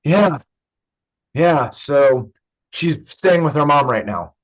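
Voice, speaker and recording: A man's voice: on the high side, lively intonation, slow pace, highs cut off. A man acting in a naturalistic play reading as an evasive, joking young brother who hides his drinking. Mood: subdued, guarded. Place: remote online staged reading.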